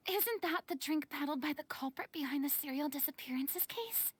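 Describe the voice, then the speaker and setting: A bossy, easily exasperated female stage performer in a game drama. Her voice is high, and her manner is no-nonsense. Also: animated, unhurried, fairly bright tone. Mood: wary. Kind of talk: dramatic voice acting.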